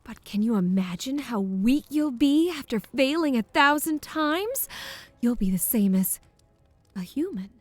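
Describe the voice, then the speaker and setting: A woman playing a brisk, teasing lady scientist, poised and matter-of-fact, in a scripted audio roleplay. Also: high-pitched, expressive, no room sound.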